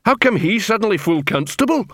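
A man voicing a big, slow-witted troll sergeant in an audiobook, blustering and proud, barking orders in broken grammar. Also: high voice, big pitch swings, a bit bright, dry recording, studio quality, pitched above the performer's own voice, heavily acted. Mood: surprised, proud.

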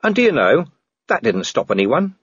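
A man's voice: fairly high pitch, very animated, moderate pace, a bit bright, slightly rough, no room sound, lo-fi sound, pitched above the performer's own voice, heavily acted. A man voicing a grim, weary male city watch commander who talks in a bitter growl.

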